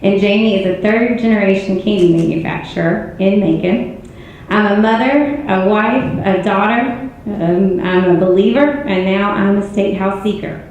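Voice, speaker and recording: A hoarse woman in her forties with a Southern American accent, giving an earnest, self-assured campaign speech at a candidate forum. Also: mid-range voice, normal speed, darkish tone, a little hiss or hum. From Georgia, US. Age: 40s. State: hoarse, strained voice. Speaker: political candidate. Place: city auditorium. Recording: echoey hall, muffled sound.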